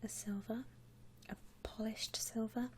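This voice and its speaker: A woman softly whispering in an ASMR roleplay as a polite, reassuring shop assistant helping a customer. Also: on the high side, little pitch movement, unhurried. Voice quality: soft, breathy whisper. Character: courteous, obliging female coffin saleswoman.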